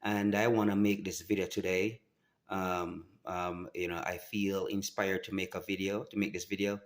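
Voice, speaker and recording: A man, telling his personal story to camera in a halting, earnest way with many restarts. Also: low voice, level tone. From Jamaica. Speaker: vlogger.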